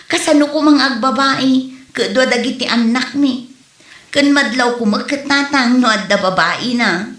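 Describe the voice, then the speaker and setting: A woman's voice: high-pitched, measured pace, a bit bright. A woman with an Ilocano accent playing a weary, hot-tempered young wife and mother in an audio comedy drama. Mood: weary, plaintive. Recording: roomy echo, faint background noise.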